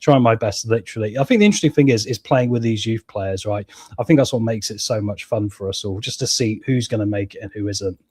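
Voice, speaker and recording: A man with a cold and a hoarse voice, chatting casually to viewers as he plays a football management game and reads out live chat. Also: on the low side, fast, a little mellow, no room sound. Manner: chatty, keeps addressing viewers as guys and mate. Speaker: gaming channel host.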